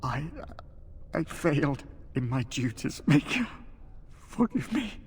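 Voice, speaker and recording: Broken, slow-talking captive knight. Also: male voice, a little high, very animated, breathy edge, full character voice. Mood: guilt-stricken. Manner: halting, confused, pleading speech. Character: broken, delirious captive knight templar, weak and guilt-ridden.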